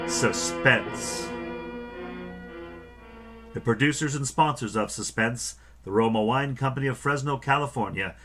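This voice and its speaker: A man playing an ominous host of a vintage radio suspense show, speaking portentously with dramatic pauses over music. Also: medium pitch, fairly bright tone. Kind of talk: 1940s-style radio narration, theatrical.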